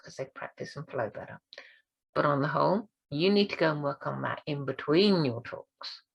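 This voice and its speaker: A woman speaking coach giving an encouraging training talk, her voice croaky and hoarse this week. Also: low-pitched, poor audio. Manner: encouraging, earnest. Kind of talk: direct-to-camera training talk.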